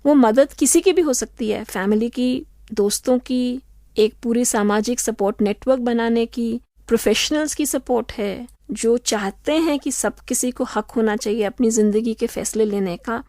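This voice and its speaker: A woman speaking as an expert guest on a radio interview, calm and methodical as she lays out her points. Her voice sits a little high, and the studio sound is dry and close. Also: no echo. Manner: measured, explanatory, steady.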